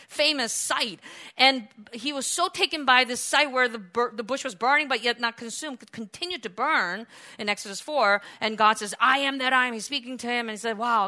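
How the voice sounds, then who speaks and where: A woman preacher giving an animated, conversational sermon, full of restarts and asides. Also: fairly high pitch.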